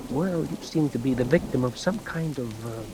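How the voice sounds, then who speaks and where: An actor playing a patient, reassuring and authoritative prison warden in an old-time radio drama, with a measured, avuncular manner. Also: male voice, mid-range voice, heavy background noise, natural voice. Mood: reassuring, brisk.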